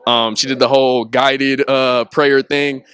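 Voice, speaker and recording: A young man, a student filmmaker, answering red carpet questions in an excitable, casual way. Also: mid-range voice, unhurried, smooth. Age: young adult.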